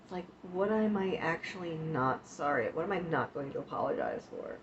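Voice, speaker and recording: A woman's voice: deep voice, lively intonation, brisk, a little hiss or hum. A woman hosting a casual livestream, sniffly and coughing from the flu, talking frankly and with swearing straight to her chat. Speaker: livestream host. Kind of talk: casual livestream chat about self-care. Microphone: streaming mic. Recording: dull lo-fi stream audio.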